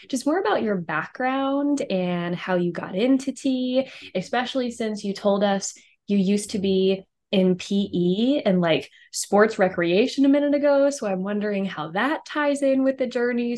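A woman's voice: mid-range voice, darkish tone. A woman hosting a podcast, asking long, curious questions in a friendly, casual way. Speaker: podcast host. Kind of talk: casual interview chat.

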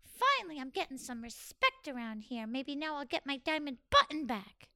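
A woman voicing a cocky little male rooster with a magic stomach, squealing and chanting in a high voice. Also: very animated, normal speed, pushed and bright, no echo, clean studio sound, heavily acted. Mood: proud.

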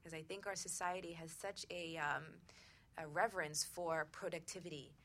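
A woman's voice: sits low, steady tone, moderate pace, a bit bright. A woman hosting a radio talk discussion in an earnest, reflective manner. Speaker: radio show host.

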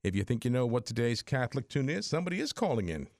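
A man hosting a radio call-in quiz in a studio, announcing in a lively way and repeating the phone number. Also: mid-range voice, fast, over loud music. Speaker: radio host.

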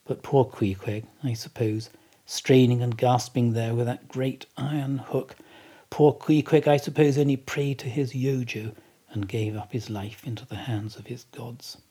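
A man reading a classic novel as an audiobook narrator, expressive and dramatic, with deliberate phrasing. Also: mid-range voice, breathy edge.

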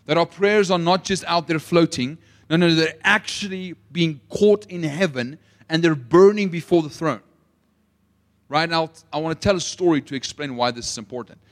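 A young South African man preaching a sermon, telling a story with swings between loud emphasis and quiet asides. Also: on the high side, normal speed. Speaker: pastor.

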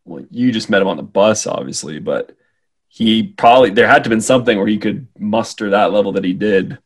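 A man's voice: on the low side, fairly quick, husky. A man in a casual conversation, reacting and chiming in. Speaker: podcast participant. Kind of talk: casual chat, interjecting.

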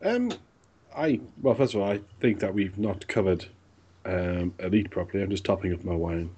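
A man's voice: low voice, animated, moderate pace, noisy background. A man co-hosts a casual gaming podcast. He jokes dryly and swears freely in the middle of the banter. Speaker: podcast co-host.

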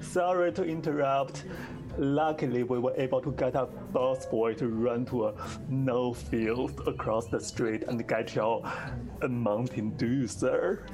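An actor playing a stiff, formal fine-dining waiter in a comedy radio play, clipped and unflappably polite. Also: male voice, medium pitch, steady tone, a little slow, over music, lightly acted. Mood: dutiful.

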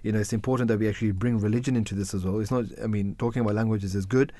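A man's voice: lowish voice, fairly even intonation, very fast, dark tone, no echo. A man whose mother tongue is Urdu hosts a casual radio discussion, hesitating and restarting often and ending points with tag questions. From parents from Pakistan. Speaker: radio show host.

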